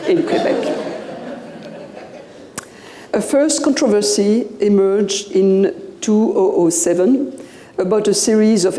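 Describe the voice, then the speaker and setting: An older woman with a Belgian French accent, a native French speaker, giving a public lecture in English at a podium microphone, measured and pausing often with "uh". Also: medium pitch, warm tone. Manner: slow, measured, with frequent "uh" pauses. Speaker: guest lecturer. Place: lecture room. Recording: echoey hall with long reverb.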